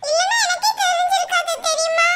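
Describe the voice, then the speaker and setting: Tattling little girl, very high and bright, voiced by a woman. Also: steady tone, brisk. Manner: eager, tattling. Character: chatty little girl telling tales.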